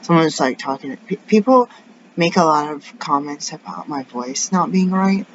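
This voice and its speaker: Raspy deep-voiced woman venting in a rambling vlog. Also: lively intonation, normal speed. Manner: rambling, tangent-prone, venting. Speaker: vlogger. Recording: dull lo-fi sound with faint noise.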